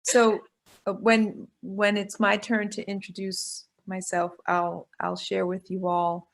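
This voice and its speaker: A woman's voice: medium pitch, completely flat delivery, slow, gravelly, slight background noise, rough recording. A woman moderating a webinar over a video call, talking hesitantly and repeating her words. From Caribbean family. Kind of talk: casual video call chat.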